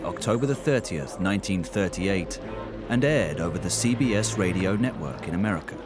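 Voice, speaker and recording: A man reading scripted documentary narration. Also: lowish voice. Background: music.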